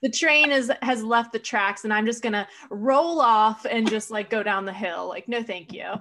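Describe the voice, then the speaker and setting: A woman guest on a podcast chatting casually, wry and self-mocking, quick to laugh. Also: fairly high pitch, sharp tone, a little hiss or hum. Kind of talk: casual chat.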